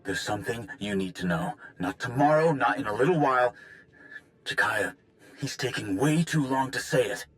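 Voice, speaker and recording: An actor playing an anxious, hurried comrade calling in with news in a video game, urgent and informal. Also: male voice, mid-range voice, expressive, fairly bright tone, close to an everyday voice, rough recording. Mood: urgent, hesitant. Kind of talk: video game cutscene acting.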